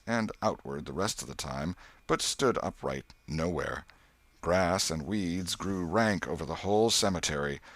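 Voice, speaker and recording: Deep-voiced man narrating an audiobook. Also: no echo. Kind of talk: scripted literary narration.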